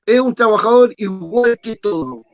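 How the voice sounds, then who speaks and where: A man hosting a casual interview, chatty and quick with short acknowledgments. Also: high voice. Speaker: radio show host. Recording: dull lo-fi sound.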